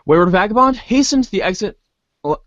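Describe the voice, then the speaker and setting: Male narrator for a comic reader's theater, highish voice, mock-grand delivery, dry and close sound. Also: expressive, a little fast, fairly bright tone, dry recording, dull audio. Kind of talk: dramatic narration of a comic adventure.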